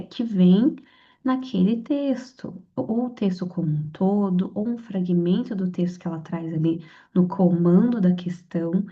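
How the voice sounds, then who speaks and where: A young Brazilian woman, hoarse from a cold, chatting through her exam study notes with lots of fillers and restarts. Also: mid-range voice, dark tone, muffled recording. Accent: Brazilian Portuguese. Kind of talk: casual study lesson to camera.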